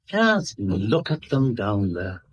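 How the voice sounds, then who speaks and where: A raspy turn as a jovial, sly British customer. He chats and wheedles drolly, his pitch leaping about playfully, in 1970s radio drama style. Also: big pitch swings, slow pace, dull audio, exaggerated voice. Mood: droll, teasing. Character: jovial, teasing, wily male bank customer.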